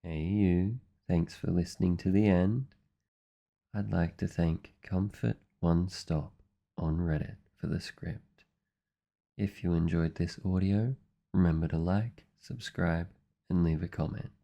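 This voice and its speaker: A man's voice: low-pitched, steady tone, unhurried, creaky, mellow. An Australian man, a voice actor hosting his channel, speaking casually to his listeners.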